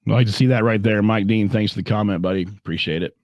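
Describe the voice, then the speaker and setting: A man with a low, hoarse voice hosts a relaxed podcast conversation. He clears his throat often and talks in a laid-back way. The sound is dry and close. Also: quick pace, no room sound. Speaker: podcast host. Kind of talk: casual chat.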